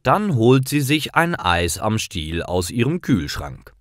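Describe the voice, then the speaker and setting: A man narrating scripted stories for language learners in deliberate, clearly separated short sentences. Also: mid-range voice, big pitch swings, no echo, clean studio sound.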